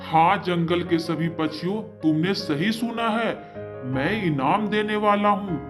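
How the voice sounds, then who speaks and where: A man's voice: high voice, a little slow, over music. A man voicing an authoritative, generous bird king of the forest, speaking patiently and declaratively in a children's moral-story cartoon. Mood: declaring.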